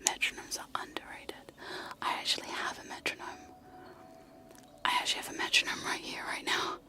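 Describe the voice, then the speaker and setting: A young woman whispering close to sensitive microphones in a casual, giggly ASMR chat with trigger sounds, often poking fun at herself. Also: fairly quick. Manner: soft whisper, giggly and self-deprecating. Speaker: ASMR streamer.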